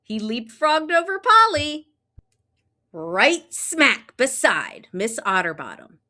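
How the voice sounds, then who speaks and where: A woman reading a children's picture book aloud, with expressive, drawn-out storytelling and sound effects. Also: high voice. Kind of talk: animated children's read-aloud narration.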